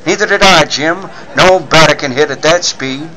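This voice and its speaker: A man's voice: a little high, normal speed, pushed and bright, low-quality recording. A man playing a bossy, skeptical newspaper chief, emphatic and blustering, in 1940s radio serial style. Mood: astonished.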